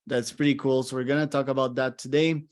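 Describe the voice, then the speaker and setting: Flat-toned male podcast host. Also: medium pitch, fast. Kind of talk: casual interview chat.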